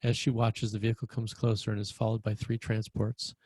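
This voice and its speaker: A man's voice: fairly low pitch, monotone, fairly quick, a little mellow, breathy edge, no room sound. A man reading screenplay stage directions as narrator, in a plain, descriptive manner.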